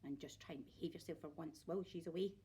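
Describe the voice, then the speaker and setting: A woman's voice: deep voice, quick pace, mellow, slight background noise, voice pushed down, close to an everyday voice. A woman voicing a selfish, grumpy, tiny old grandmother who snaps and scolds bossily in a storybook reading. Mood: menacing. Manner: snapping, bossy, scolding.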